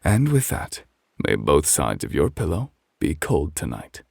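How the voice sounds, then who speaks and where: A man playing a calm, refined gentleman who reads a bedtime story as gentle, measured ASMR close to the microphone. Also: extremely low pitch, gravelly, dry recording, full character voice. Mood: gentle, formal.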